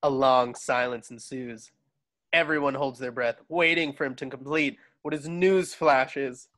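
A man's voice: medium pitch, fairly even intonation, unhurried, fairly bright tone. A man narrating stage directions from a script in an amateur table read over a video call.

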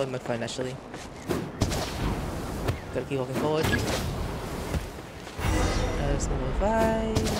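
A man giving casual gameplay commentary as a streamer, excitable and thinking aloud. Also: on the high side. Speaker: game streamer. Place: indoor room at a computer. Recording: loud game music behind.

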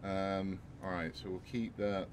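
A man's voice: deep voice, a little slow, noisy background. A man in his thirties with a sore throat, chatting casually and thinking aloud as he plays a game in a small home room. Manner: relaxed, rambling, thinking aloud. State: sore throat, voice lower than normal. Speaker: streamer. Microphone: headset with desk mic.

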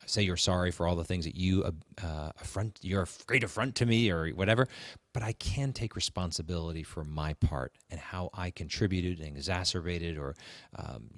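A man co-hosting a conversational advice podcast, in a halting way with repeated phrases. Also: low voice, dry recording, clean studio sound. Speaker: podcast co-host. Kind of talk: conversational talk on marriage advice.

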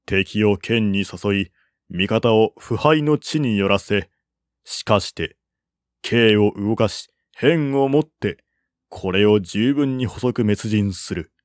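Slow-paced male audiobook narrator, dry sound. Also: on the low side, dry recording. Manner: measured, formal, dramatic. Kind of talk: literary reading of a historical war novel.

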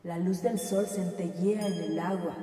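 A woman guiding a scripted sleep meditation in a slow, soothing, gentle manner. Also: lowish voice, fairly even intonation, slight background noise. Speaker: meditation guide. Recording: echoey with long reverb.

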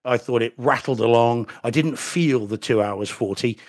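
A man's voice: mid-range voice, moderate pace, a little mellow. A middle-aged man from New Zealand, a solicitor co-hosting a home theater podcast, talking casually and carefully, with dry asides and restarts, on a desk microphone from a room at home. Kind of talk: casual chat. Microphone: desk microphone on video call.

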